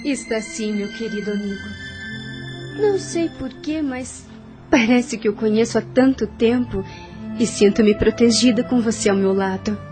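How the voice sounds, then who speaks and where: A woman's voice: on the high side, moderate pace, music in the background, natural voice. An actress playing a trusting, eager young female spirit in an audio drama. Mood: tender trust.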